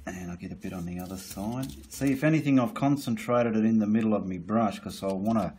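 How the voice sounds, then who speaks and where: A man's voice: fairly low pitch, a little fast, mellow, slight background noise. A man giving a casual, blunt painting tutorial while he works at an easel, with the odd mild swear.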